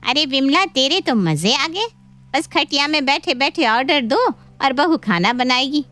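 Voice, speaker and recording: A woman playing a nosy, excitable aunt in a cartoon moral story, exclamatory, dramatic and teasing. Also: sits high, measured pace, exaggerated voice. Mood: delighted, teasing. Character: nosy, excitable visiting aunt, mother-in-law's older sister. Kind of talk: animated Hindi moral-story cartoon dub.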